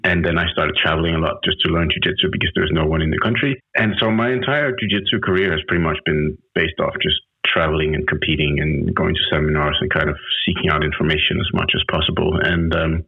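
A man's voice: extremely low pitch, creaky, slightly rough. A man in his late thirties from Denmark, a guest chatting casually on a call, rambling with many restarts. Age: 30s. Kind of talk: casual interview chat. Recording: tinny phone-like audio.

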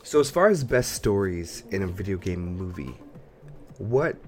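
A man's voice: deep voice, very animated, measured pace, darkish tone, faint music behind. A man co-hosting a podcast, chatting casually about games and films in a rambling, think-aloud way. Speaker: podcast co-host.

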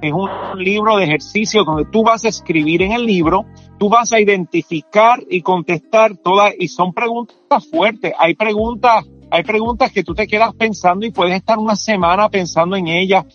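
Puerto Rican man, high voice, dull audio. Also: moderate pace, fairly bright tone, soft background music. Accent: Puerto Rican Spanish. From Puerto Rico. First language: English. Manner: explains at length with many restarts and tags like "¿me entiendes?". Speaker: author and performance coach. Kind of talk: casual interview talk.

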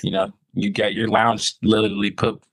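Laid-back low-voiced young man. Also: flat delivery, moderate pace, a bit bright, no echo. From Arizona. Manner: laid-back, hesitant, lots of restarts. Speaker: rapper guest. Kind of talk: casual interview answers.

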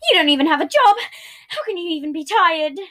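A volatile, possessive boyfriend in an audio drama, acted by a woman. The voice is high-pitched and emotional, pressing every line as an accusation with a controlling edge. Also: a little fast, fairly bright tone. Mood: accusing, indignant. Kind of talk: dramatic audio story character.

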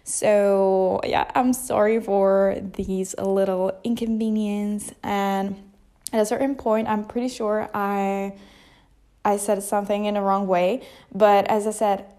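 A woman's voice: mid-range voice, flat delivery, slow pace, warm tone. A young woman, hosting a casual unscripted podcast from her couch, chatty and self-deprecating, recorded without a proper mic. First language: Italian. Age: early 20s. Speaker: podcast host. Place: at home on a couch.

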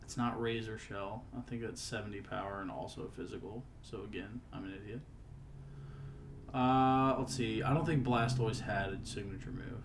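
A man co-hosting a casual podcast, joking and banter-driven, with a southern US accent, mumbling one moment and loud the next. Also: fairly low pitch, fairly even intonation, normal speed, background noise. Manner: playful, jumps between mumbling and loud exclaiming. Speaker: podcast co-host. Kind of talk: casual chat.

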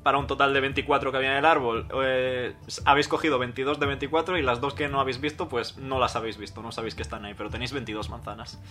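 A man narrating as game master of a tabletop game, calm and explanatory with an occasional joke. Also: medium pitch, racing, few pauses, soft background music.

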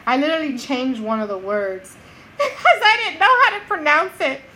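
A woman's voice: high-pitched, big pitch swings, moderate pace, pushed and bright, a little hiss or hum, rough recording. A woman co-hosting a podcast, telling a story in casual chat with friends and laughing often. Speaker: podcast co-host and notary.

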